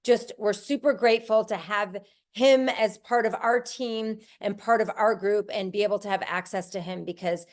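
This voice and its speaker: A woman talks in an upbeat, chatty way, full of enthusiasm as she presents. Her pitch sits in the middle range, and she is heard through a video call. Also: fairly even intonation, normal speed. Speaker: team leader, presenter.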